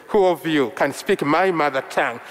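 Slow-preaching man from Uganda, Luganda native. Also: a little high, slight background noise. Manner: slow, measured delivery in short phrases. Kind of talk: sermon. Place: church. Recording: long reverb of a large hall.

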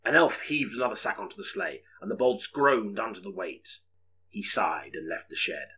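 A man's voice: fairly low pitch, expressive, normal speed, bright, forceful tone, a little hiss or hum, rough recording. A man narrating an audiobook story in a dramatic, scripted storytelling style.

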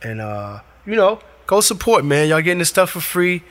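A man with a raspy voice hosting his own show, delivering an emphatic motivational monologue in short punchy commands. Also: high voice, very animated, normal speed, fairly bright tone. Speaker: podcast host.

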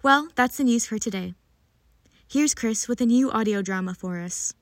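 A woman's voice: fairly high pitch, normal speed, no room sound, studio quality. A woman reading scripted news as a radio host.

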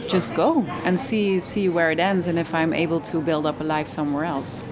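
A woman's voice: low-pitched, fairly even intonation, moderate pace, music in the background. A woman from the Netherlands with a Dutch accent, answering casually as an interview guest. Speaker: interview guest, yoga teacher. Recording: crowd chatter behind.

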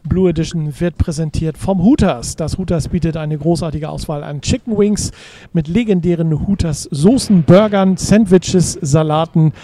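A man's voice: a little high, moderate pace, few pauses, mellow, slight background noise. A man hosting a radio interview show, upbeat as he reads sponsor copy and prompts his guests with short questions.

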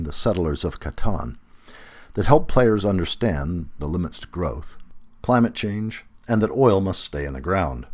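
Male narrator for long-form article. Low register, animated intonation, emphatic and deliberate phrasing. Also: husky. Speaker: narrator reading a magazine feature aloud.

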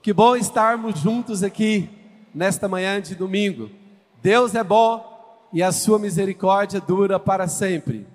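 A man's voice: sits high, measured pace. A man in his fifties from Brazil with a Brazilian accent, a guest pastor addressing a congregation, exclamatory and asking the crowd questions. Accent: Brazilian Portuguese, from Goiás. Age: 50s. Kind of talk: sermon introduction to a congregation. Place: church sanctuary. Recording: church hall reverb.

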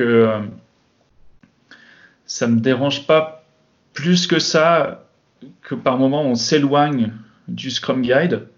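A man talking about his work as a Scrum Master in a relaxed podcast chat, thinking aloud with many pauses and restarts. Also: mid-range voice, muffled recording. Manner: reflective, many hesitations and restarts. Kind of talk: casual podcast conversation.

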